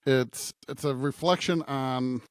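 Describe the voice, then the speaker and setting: A man's voice: medium pitch, slow, dry recording. A man co-hosting a podcast, reading and discussing scripture. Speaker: podcast co-host.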